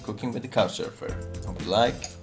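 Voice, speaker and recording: A man's voice: on the low side, fairly quick. A man hosting a casual home cooking show, chatting and asking his guest one question after another in a kitchen. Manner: curious, keeps asking questions. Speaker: host. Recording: music playing underneath. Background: background music.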